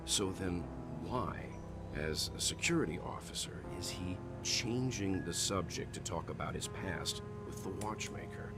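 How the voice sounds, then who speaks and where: Mentor mayor muy grave y sereno. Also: male voice, a bass voice, full character voice. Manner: measured, thoughtful, reassuring. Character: calm older male companion, steady mentor. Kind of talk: game voice acting. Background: game music.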